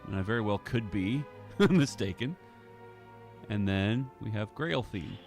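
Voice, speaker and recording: A man's voice: lowish voice, lively intonation, unhurried. A man giving a casual walkthrough of his audio drama editing, thinking aloud in fragments. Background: film score music.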